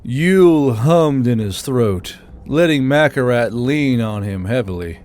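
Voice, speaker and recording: A man's voice: medium pitch, expressive, measured pace, darkish tone. A man reading scripted fiction as an audiobook narrator.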